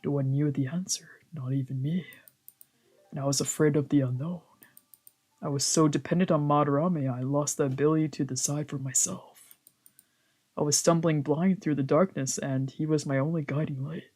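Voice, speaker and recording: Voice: medium pitch, steady tone, normal speed, a little mellow. Streamer informal y malhablado, de tono medio. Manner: slangy, swearing. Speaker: streamer playing a visual novel. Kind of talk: casual livestream chat and reading game text aloud.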